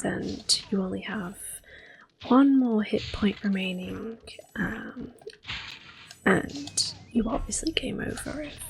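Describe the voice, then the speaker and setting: A woman giving soft-spoken, often whispered ASMR commentary on a game, gentle and breathy. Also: medium pitch, slight background noise, rough recording. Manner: gentle, unhurried. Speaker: ASMR gameplay host.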